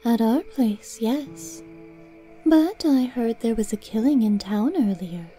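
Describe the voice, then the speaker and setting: A woman voicing a sly, poised female cat familiar in a storybook reading, coy and measured. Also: a little high, mellow, faint music behind, pitched above the performer's own voice. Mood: coy, composed.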